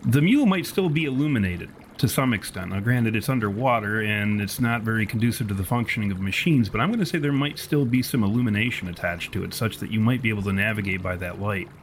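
A man's voice: on the low side, quick pace, hardly any pauses, slight background noise. A man improvising narration as game master of a tabletop roleplaying game, with wordy, storyteller phrasing.

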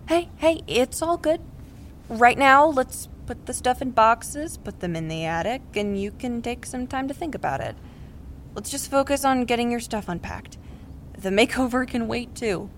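Casual, encouraging thirties best friend, played by an actress, wry, higher female voice, faint rumble behind. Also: moderate pace, natural voice. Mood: gentle, encouraging. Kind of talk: naturalistic audio drama dialogue.

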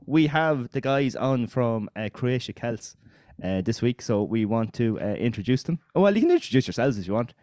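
A man's voice: mid-range voice, sing-song, moderate pace, hardly any pauses, a little mellow. A man with an Irish accent hosting a podcast, chatting casually in a colloquial way. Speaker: podcast host. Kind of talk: casual chat.

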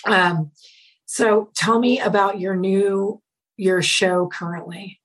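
A woman from the United States hosting a casual interview, talking in a rambling way with many pauses and restarts. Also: medium pitch, steady tone. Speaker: podcast host, comedian.

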